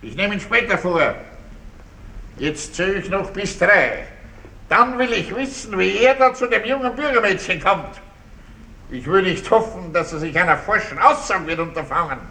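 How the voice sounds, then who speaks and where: An actor playing a stern, suspicious police commissioner in a German radio play, commanding and interrogating. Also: male voice, high voice, lively intonation, moderate pace, sharp tone, exaggerated voice. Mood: barking, commanding. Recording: roomy, slightly distant, hissy radio recording.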